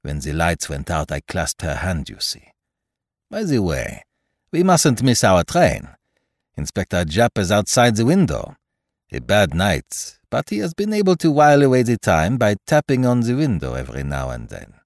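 Fussy, superior male detective, voiced by a narrator, brisk, low-pitched, precise mystery storytelling. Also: huge pitch swings, dry recording, clean studio sound, heavily acted. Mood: brisk, satisfied. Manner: brisk, precise, gently chiding. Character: shrewd, self-assured, fussy male detective, calmly superior. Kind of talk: dramatised audiobook reading of a mystery story.

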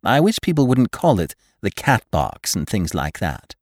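A man voicing a prim, matter-of-fact female receptionist in a storybook reading, brisk and proper in manner. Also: low-pitched, very animated, darkish tone, no echo, clean studio sound, voice pushed up.

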